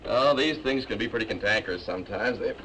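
An actor playing a fussy, bashful older gentleman on a train, who chuckles and stammers as he offers to help a lady. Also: fairly low pitch, fast talker, close to an everyday voice. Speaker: train passenger. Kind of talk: 1950s television drama acting. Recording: hissy 1950s film soundtrack.

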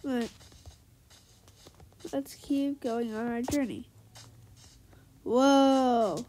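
A woman's voice: fairly high pitch, drawn-out words, a little hiss or hum. A young woman with a sick, nasal voice chatting casually to viewers over her gameplay. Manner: chatty, easily excited, talks to viewers. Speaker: Minecraft let's player.

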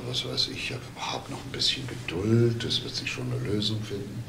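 Nosy police inspector in a crime show, keeps pressing, lowish voice, echoey with clear noise. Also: male voice, very animated, normal speed. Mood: insistent. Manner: probing, with tag questions and false hesitancy. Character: probing, insistent male police detective. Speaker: police detective. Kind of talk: naturalistic German TV crime drama acting. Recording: roomy, noisy old TV soundtrack.

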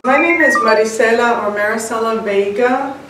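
A woman in her sixties telling her personal story in an interview, measured and reflective, with pauses. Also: fairly high pitch. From United States, born in Cuba. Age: 60s. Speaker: interviewed home cook and author. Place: indoors, bright home room with large windows. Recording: very echoey room, distant mic, faint noise.

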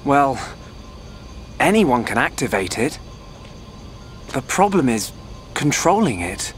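An actor playing an earnest, thoughtful teenage researcher in a video game cutscene. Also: male voice, mid-range voice, big pitch swings, slow pace, faint music behind.

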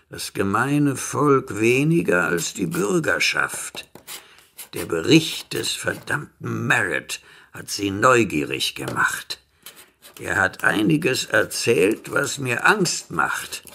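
An actor playing a sinister, imperious merchant-alchemist in a radio drama, dictating formally and deliberately. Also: male voice, mid-range voice, very animated, creaky, bright, forceful tone, full character voice. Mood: secretive, calculating.

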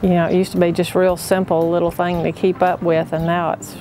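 A woman's voice: fairly low pitch, flat delivery, fairly quick, background noise. A woman with a Southern US accent talking plainly in a documentary interview about working in a family business. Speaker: family auction worker. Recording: music playing behind the voice. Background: background music.

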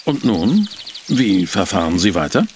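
An actor playing a curious, uneasy assistant to a detective in a German audio drama, questioning and eager. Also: male voice, fairly low pitch, huge pitch swings, measured pace, slight background noise. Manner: questioning, eager, slightly puzzled.

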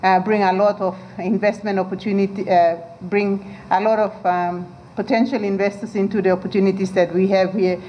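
A woman from Papua New Guinea giving casual interview remarks, thoughtful and pausing with fillers. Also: medium pitch, flat delivery, lo-fi sound, slight background noise.

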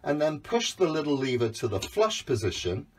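A man's voice: a little high, very animated, moderate pace, music in the background. A man in his fifties, a sailing skipper, casually walking through a small yacht and explaining each step in a practical, friendly way, filmed on a handheld phone. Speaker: sailing school skipper giving a boat tour. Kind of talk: friendly walkthrough demonstration. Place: on and inside a small sailing yacht, outdoors then in the cabin. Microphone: handheld phone camera.

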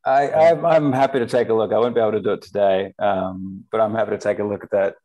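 A man's voice: fairly low pitch, fairly even intonation, quick pace, warm tone, rough recording. A man hosting an online community meeting in a casual, informal way. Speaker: meeting host. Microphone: video call.

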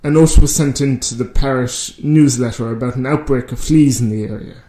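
Man acting an echoey, mellow parish priest. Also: medium pitch, fairly even intonation, a little fast, natural voice. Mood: announcing. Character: parish priest making announcements. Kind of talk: amateur radio drama acting. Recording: echoey, roomy sound.

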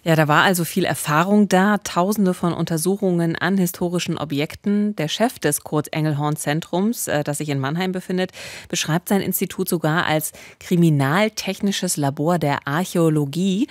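A woman's voice: on the low side, normal speed, dry recording. A woman in her thirties co-hosting a true-crime podcast, narrating conversationally into a studio microphone. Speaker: podcast co-host. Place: small radio studio. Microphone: studio microphone with pop filter, headphones.

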